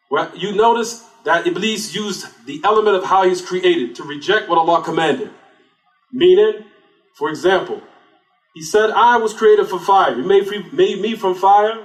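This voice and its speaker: A man giving a religious lecture, often asking rhetorical questions and then answering them himself. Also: sits high. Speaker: Islamic lecturer. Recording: echoey room, distant mic.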